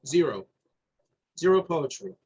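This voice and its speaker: A middle-aged man from New Jersey talking in a thoughtful, halting way, often repeating words as he searches for them. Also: on the high side, sing-song, a little mellow, husky, dull audio. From New Jersey, USA. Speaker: guest. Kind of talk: reflective conversation.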